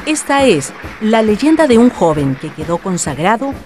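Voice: mid-range voice, big pitch swings, moderate pace, over music. Storyteller of a historical radio drama. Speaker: narrator.

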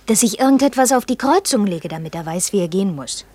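A woman acting as a young visitor in a radio play, naive yet cheeky. Her husky voice moves freely up and down, though her replies are terse, offhand and a little evasive. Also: medium pitch, lively intonation, quick pace, dark tone, dry recording, close to an everyday voice. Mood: guarded. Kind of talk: naturalistic German radio play dialogue.

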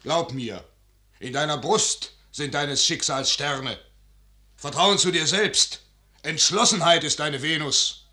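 A man's voice: on the high side, slow, pushed and bright, heavily acted. An actor playing a loyal, scheming field officer who urges his commander on, in emphatic verse for a 1955 German radio drama. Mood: urgent, pleading. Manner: emphatic, persuasive declamation. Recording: 1955 radio studio recording.

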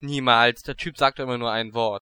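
A man's voice: mid-range voice, fairly even intonation, normal speed, sharp tone, clean tone, no echo, close to an everyday voice. A man playing a cheeky, grumbling adventurer in a parody audio drama, in colloquial style. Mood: peeved.

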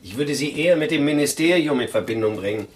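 An actor playing a brusque, composed police commissioner in a radio crime drama, giving orders. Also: male voice, a little high, lively intonation, fast, noisy background, close to an everyday voice. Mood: wary.

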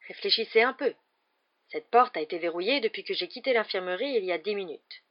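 Une femme qui prête sa voix dans un livre audio à un vieux directeur sorcier calme, bienveillant et amusé. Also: female voice, mid-range voice, highs cut off, lightly acted. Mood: calm. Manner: serene, measured. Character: calm, kindly, amused old wizard headmaster. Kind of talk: acted audiobook dialogue.

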